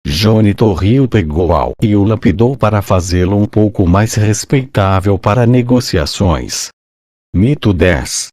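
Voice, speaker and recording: A man's voice: low-pitched, darkish tone, no room sound, clean studio sound. A man with a Brazilian accent reading scripted documentary narration for a video channel.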